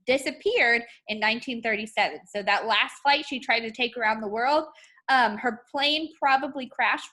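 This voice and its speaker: A woman teacher reading a picture book aloud to children in an expressive, instructive way. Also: on the high side. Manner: expressive, instructive, addressing young students. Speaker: art teacher.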